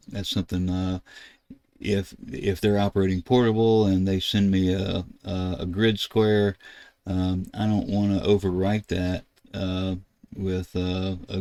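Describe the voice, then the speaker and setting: An older man hosts a casual ham radio tutorial at his computer. His voice sits low and stays very flat in pitch. He explains in a halting, rambling way, with a dry recorded sound free of room echo. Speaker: ham radio video host. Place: indoors at a computer desk.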